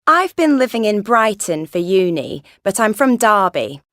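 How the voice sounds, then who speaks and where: Brief: actress as a chatty young student from Derby, lively intonation, dry studio sound. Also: female voice, mid-range voice, measured pace, no room sound. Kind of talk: scripted monologue.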